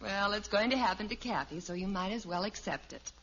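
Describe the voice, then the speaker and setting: An actress playing a sensible, reassuring, gently chiding mother in a 1950s radio sitcom. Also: medium pitch, a little fast. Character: Margaret, patient, sensible, gently wry mother. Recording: muffled old radio recording.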